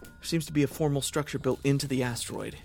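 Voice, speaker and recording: A man's voice: medium pitch, steady tone, quick pace, vocal fry, darkish tone, soft background music, natural voice. A man acting a wary, pragmatic military officer stranded on a hostile ship in a science fiction audio drama, terse and dry as he talks to himself. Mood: guarded, reporting. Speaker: lead actor in audio drama.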